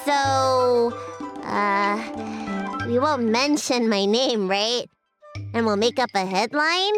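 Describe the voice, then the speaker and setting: A woman voicing a timid, polite young girl in an anime-style video game, gentle and unsure. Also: a little high, very slow. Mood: tentative. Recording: music behind the voice.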